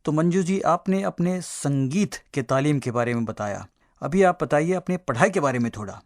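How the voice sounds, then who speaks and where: A man's voice: a little high, animated, normal speed, no echo, studio quality. A man interviewing a guest on radio, asking questions in a polite, appreciative way.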